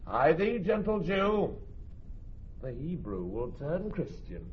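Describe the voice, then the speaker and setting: An actor playing a hearty, confident merchant gentleman in a classic Shakespearean radio drama. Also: fairly high pitch, big pitch swings, takes it slowly. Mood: breezy confidence. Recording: dull old radio recording, faint noise.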